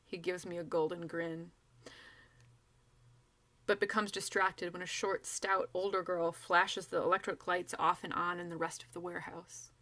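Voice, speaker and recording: A woman's voice: fairly low pitch, moderate pace. A woman reading a first-person novel aloud as an author, narrating expressively and acting out the dialogue. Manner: animated, acts out dialogue.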